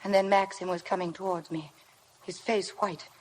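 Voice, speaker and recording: An actress playing a meek, anxious young wife in a 1950s radio drama, breathless and earnest. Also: female voice, lowish voice, fairly even intonation, moderate pace, darkish tone, a little hiss or hum, lightly acted, poor audio. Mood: excited, then dread. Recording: vintage 1950 radio broadcast.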